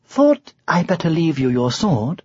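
A man voicing a sly, practical woman witch who speaks in a calm, coaxing way, in a storybook. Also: mid-range voice, unhurried, husky, dry recording, highs cut off, pitched above the performer's own voice. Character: sly, practical female witch, calmly encouraging.

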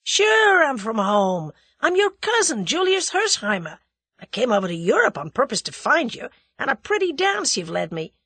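A hot-tempered young man, put on by a woman narrator with a bright, breathy edge. He talks blunt and slangy, his pitch leaping about. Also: very animated, moderate pace, no room sound, exaggerated voice. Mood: gentle.

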